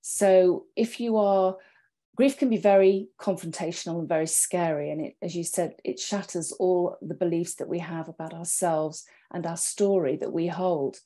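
A middle-aged woman, a therapist, explaining things on an online panel in a measured, deliberate way. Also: on the low side, fairly even intonation, warm tone. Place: home study, indoors. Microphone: earphone headset on video call.

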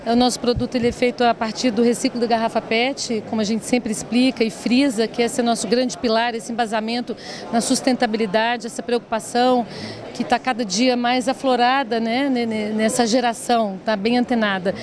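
Higher-pitched Brazilian woman at a crowded fair. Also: steady tone, fairly quick. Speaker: company director and designer, interviewee. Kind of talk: promotional trade fair interview. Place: open stand in a large indoor exhibition hall. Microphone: handheld reporter microphone held by interviewer. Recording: busy trade fair crowd behind. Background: crowd chatter of a trade fair.

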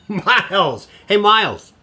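A middle-aged divorcing father in an audio drama, anxious and needy. His husky voice swings widely in pitch as he hesitates, stammers and rambles. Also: very animated, slow, sharp tone, heavily acted. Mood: excited, elated. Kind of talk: naturalistic audio play acting.

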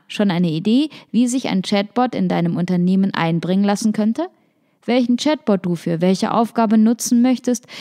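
A woman's voice: medium pitch, darkish tone. A woman reading a scripted podcast narration, like a written article read aloud.

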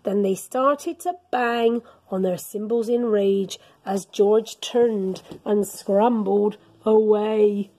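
A woman's voice: mid-range voice, slow pace, hardly any pauses. A middle-aged woman reading a children's story aloud as a teacher would, expressively and dramatically. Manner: animated, dramatic storytelling with gasps and whispers. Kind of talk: expressive picture-book read-aloud for children. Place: school classroom, indoors.

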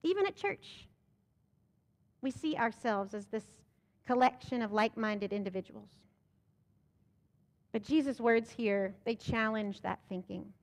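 A woman's voice: a little high, expressive, a little slow, long pauses, big-room sound. A young American woman pastor preaching a sermon from the pulpit of a church sanctuary, asking rhetorical questions and building to emphatic, rising lines. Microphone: pulpit microphone.

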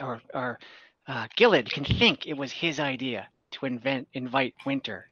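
A man playing a scheming adventurer who recruits warriors, speaking earnestly and deliberately in tabletop roleplay. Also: mid-range voice, sharp tone, no echo. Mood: confiding. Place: room at home, on a group video call. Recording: dull online-call audio.

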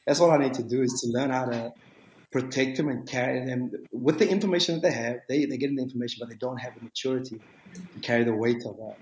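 A man in his late forties, a youth ministry leader, speaking on a video call panel in a relaxed, rambling way with lots of fillers. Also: mid-range voice, fast talker. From South Africa. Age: 40s. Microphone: video call webcam. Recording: echoey room with faint noise.

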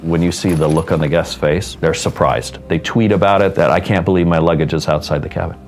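A man's voice: sits low, fairly even intonation, fairly quick, darkish tone, soft background music. A man from Seattle, a cruise ship hotel director, explaining operations with pride in a documentary interview. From Seattle, United States.

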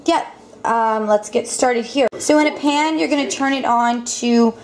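A young woman casually walking through a home recipe at her stove, talking with lots of ums and quick self-corrections. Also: fairly high pitch, darkish tone, rough recording. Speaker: cooking vlogger. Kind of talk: casual cooking demonstration. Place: home kitchen. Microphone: camera mic, filming over the stove. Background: clinking pots and utensils.

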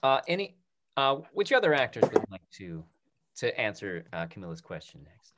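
A man's voice: lowish voice, big pitch swings, normal speed, a bit bright, a little hiss or hum. A man, sounding in his thirties, moderating a talk-back panel over a video call. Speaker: host, moderator.

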